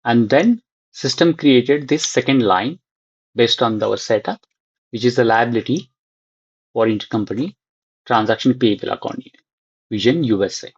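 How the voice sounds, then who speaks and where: A man with a husky voice talks through a software task as an instructor, in halting fragments as he thinks aloud. The recording is dry and somewhat dull. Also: mid-range voice, no echo. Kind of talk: unscripted screen-share walkthrough.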